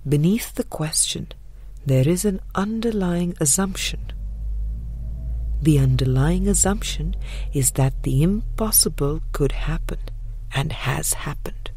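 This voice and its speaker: Slow, low, husky woman reading aloud. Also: animated, warm tone, a little hiss or hum. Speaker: audiobook narrator. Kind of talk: scripted spiritual book reading.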